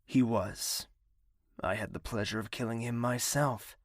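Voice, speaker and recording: A dry, close recording of a man acting as a young villain prince in an audio drama. His voice sits mid-range, and he speaks formally and deliberately, with imperious, bitter command. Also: medium pitch, no room sound, close to an everyday voice. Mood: proud, bitter.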